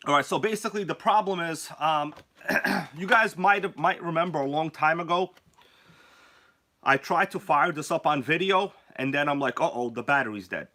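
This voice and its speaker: A sick man hosts a casual live stream, his voice worn down by a cold. He jokes around and greets viewers by name in a bright, forceful tone. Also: on the high side, moderate pace.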